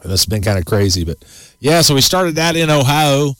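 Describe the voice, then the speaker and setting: A man's voice: medium pitch, big pitch swings, normal speed, a bit bright, no room sound. Man in his forties, folksy radio host, rambling chat, dry close studio sound. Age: 40s. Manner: rambling, folksy, many restarts. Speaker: outdoors show host.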